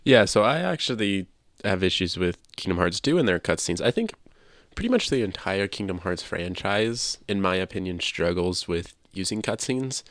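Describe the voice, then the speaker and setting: A man co-hosting a casual video game discussion, offering criticism in a measured, qualified way close to the mic. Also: deep voice, lively intonation, a bit bright, no echo. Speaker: podcast co-host.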